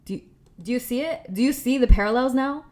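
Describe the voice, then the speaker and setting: A young woman talking through a casual commentary video essay in a chatty, slangy way with animated asides. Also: fairly high pitch, quick pace.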